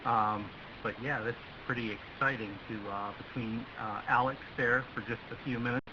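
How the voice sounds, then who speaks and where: A man's voice: medium pitch, steady tone, normal speed, highs cut off. American man on a hissy radio link. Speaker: amateur radio operator. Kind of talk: casual ham radio chat. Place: radio voice link, heard from the far end.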